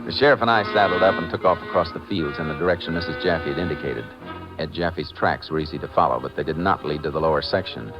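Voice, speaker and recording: Radio-drama lawman: very low, calm and shrewd, clipped delivery, dulled vintage sound. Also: fast. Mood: matter-of-fact narration. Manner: terse, authoritative, matter-of-fact. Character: calm, shrewd, steely male Texas Ranger on a manhunt. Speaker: lead actor and first-person narrator. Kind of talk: 1950s radio drama acting, clipped detective narration. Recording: muffled old 1950s radio recording, music behind.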